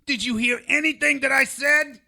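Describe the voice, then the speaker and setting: A man's voice: extremely high pitch, steady tone, normal speed, gravelly, bright, forceful tone, heavily acted. An actor playing a cranky, put-upon male sidekick in a comedic audio drama, mouthy and full of exasperated complaints.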